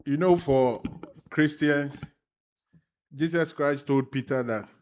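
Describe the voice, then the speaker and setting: A man's voice: fairly high pitch, takes it slowly, a little mellow, a little hiss or hum. A man speaking live from a stage as a guest executive addressing an audience.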